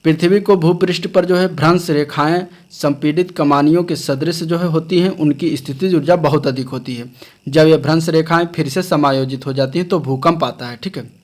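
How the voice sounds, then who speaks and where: A man's voice: a little high, steady tone, moderate pace. A man teaching science for exam preparation, reading from a textbook and explaining it in an instructional way with frequent check phrases. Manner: instructional, often adding a check phrase after points. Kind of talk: lecture read from a textbook with explanations.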